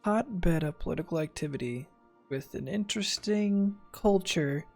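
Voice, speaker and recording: Male game streamer, creaky fry, casual chat, offhand, sarcastic, faint game music behind. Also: high voice, moderate pace, a little mellow. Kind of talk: casual gaming commentary and chat.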